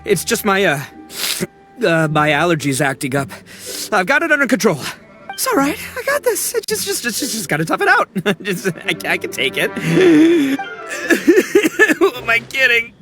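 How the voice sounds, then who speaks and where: A young male oni gang boss, brash and cocky in video game style. He blusters and stumbles over his bluffs with wildly swinging pitch, with game music behind him. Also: wildly swooping pitch, measured pace, bright, forceful tone, exaggerated voice. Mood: pained, struggling.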